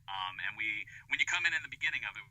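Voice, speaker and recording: Very sharp, bright-voiced man on a call. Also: fairly low pitch, animated, very fast, poor audio. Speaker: interview guest, podcast creator. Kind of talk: casual interview chat. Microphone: voice call over the internet.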